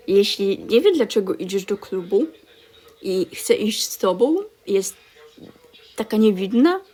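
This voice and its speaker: A woman from Poland chats through a reaction video as a VTuber. Her voice is mellow and unhurried, with a middle pitch. She sounds wry and incredulous as she talks. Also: husky. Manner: chatty, wry and incredulous, laughs while telling stories. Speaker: VTuber streamer. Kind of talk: casual reaction commentary.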